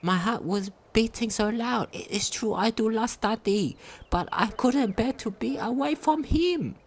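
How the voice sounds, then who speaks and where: A woman's voice: fairly high pitch, a little slow. A woman reading a diary aloud during a game playthrough, sometimes stumbling over longer words. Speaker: game commentator.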